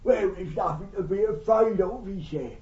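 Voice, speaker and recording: Man putting on a high, cheeky English spirit. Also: normal speed, darkish tone, close to an everyday voice. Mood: matter-of-fact. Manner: cheeky, colloquial, teasing, plain-spoken. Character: chirpy dead old working-class Englishman, cheeky spirit visitor. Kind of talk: spirit speaking at a seance, conversational. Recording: echoey room, far from mic, dull.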